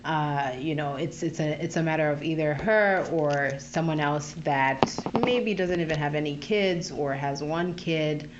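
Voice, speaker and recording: A woman from Zambia, a podcast guest who works with youth, talking in a thoughtful, searching way with many pauses and restarts. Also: low voice, highs cut off, background noise. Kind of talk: casual interview talk.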